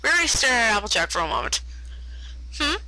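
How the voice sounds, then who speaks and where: A woman's voice: medium pitch, normal speed, bright, forceful tone, poor audio. A woman narrating fan fiction aloud expressively, often stumbling over and repeating words.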